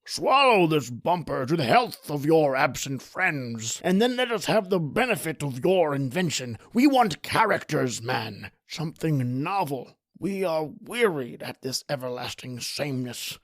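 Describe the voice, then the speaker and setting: A man's voice: fairly high pitch, very animated, unhurried, hardly any pauses, no echo, studio quality, exaggerated voice. An actor playing a cruel, drunken, bellowing king, imperious and impatient, in an old-time radio horror style. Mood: jovial, commanding.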